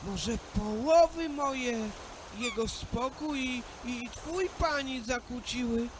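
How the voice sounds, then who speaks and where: A woman's voice: a little high, slow pace. A woman playing a humble, earnest young fisherman in a folk fairy-tale radio play, with old-fashioned exclamatory speech. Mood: humble, surprised. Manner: archaic, exclamatory storybook speech. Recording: loud music and noise behind the voice.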